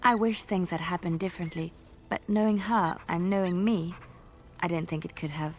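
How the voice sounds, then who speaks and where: An actress playing a devout, gentle young woman, a reflective companion in a fantasy video game. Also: fairly low pitch, moderate pace, faint music behind, close to an everyday voice. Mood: wistful. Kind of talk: video game character acting.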